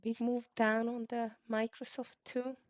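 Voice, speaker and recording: A woman's voice: fairly high pitch, flat delivery, unhurried. A woman with a Hungarian accent, hosting a live trading stream and calling out stock tickers in clipped bursts with fillers. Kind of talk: live market commentary. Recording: dull lo-fi stream audio.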